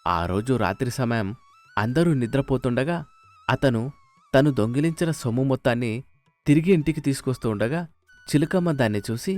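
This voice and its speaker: A man's voice: mid-range voice, expressive, moderate pace, long pauses, a little mellow. A man narrating a scripted moral story as a storyteller, with music behind him. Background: faint music.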